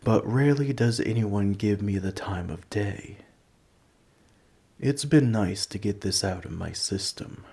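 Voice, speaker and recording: A man voicing an ancient, gentle, nerdy dragon in an ASMR roleplay, rambling in a politely formal, scholarly way. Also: lowish voice, slightly rough, exaggerated voice. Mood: grateful, gentle. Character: ancient, gentle, nerdy male dragon who collects coins. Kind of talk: scripted ASMR roleplay, speaking to the listener.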